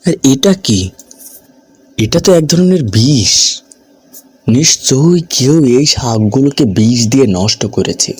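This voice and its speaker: A man voicing a caring, dutiful young village cowherd in a cartoon, with a brisk, reassuring manner. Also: medium pitch, lively intonation, a little mellow, breathy edge, no room sound. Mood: concerned, determined. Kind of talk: cartoon character acting.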